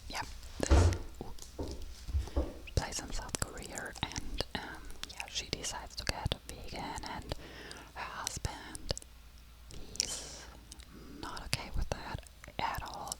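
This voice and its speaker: Casting: ASMR book reviewer, soft breathy whisper throughout, unhurried and hesitant, feeling for words. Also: poor audio. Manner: halting, searching for words in a non-native language.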